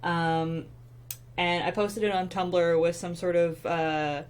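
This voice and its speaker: A woman's voice: lowish voice, little pitch movement, slow pace, slight background noise. A young woman hosting an art stream, chatting casually with lots of fillers as she draws. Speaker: artist hosting a drawing stream. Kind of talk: casual chat while drawing.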